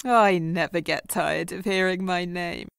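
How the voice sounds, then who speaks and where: An actress playing a brash, nosy, sarcastic friend with a theatrical manner in an audio comedy drama. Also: female voice, lowish voice, steady tone, unhurried, creaky, a bit bright, smooth, no room sound, clean studio sound. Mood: sardonic.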